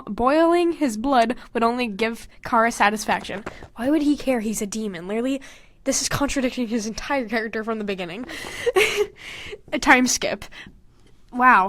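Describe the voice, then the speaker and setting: A young woman hosting a podcast, casually reading aloud and mocking her own writing in an animated, sweary, giggly way. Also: a little high, no echo. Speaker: podcast host. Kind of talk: casual comedic commentary while reading own old fanfiction aloud.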